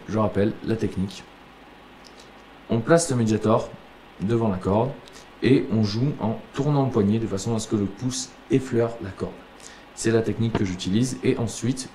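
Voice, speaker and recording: Low-voiced guitar teacher with a cold. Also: male voice, slight background noise, poor audio. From southern France. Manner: relaxed, chatty, explains step by step with fillers. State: has a cold, coughing. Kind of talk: casual guitar lesson.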